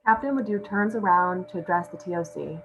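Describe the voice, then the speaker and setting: A woman's voice: lowish voice, a little fast. A woman reading out stage directions as scripted narration at a table read. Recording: muffled audio with clear hum.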